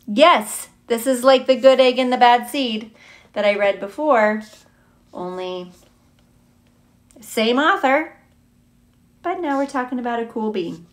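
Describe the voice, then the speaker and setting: Slow-paced woman doing a children's read-aloud. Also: on the high side, expressive. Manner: playful, chatty, asks listeners questions. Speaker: teacher reading a picture book aloud. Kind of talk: children's read-aloud with casual asides to young viewers.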